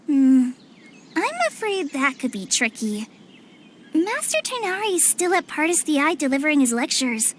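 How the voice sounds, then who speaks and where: Earnest, high-voiced young forest ranger girl. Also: very animated, normal speed, background noise. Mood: earnest, hesitant. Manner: hesitant, earnest. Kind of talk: animated game cutscene acting.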